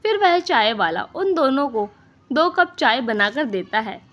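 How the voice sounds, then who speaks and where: A woman narrator tells a scripted cartoon story. Her voice sits high, and she takes the tale slowly, line by line. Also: very animated, fairly bright tone.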